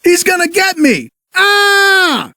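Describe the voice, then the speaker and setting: A man voicing a goofy, excitable, easily scared tow truck in a children's read-along, folksy and exclaiming. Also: very high voice, takes it slowly, dry recording, clean studio sound, voice pushed up, exaggerated voice. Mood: panicked.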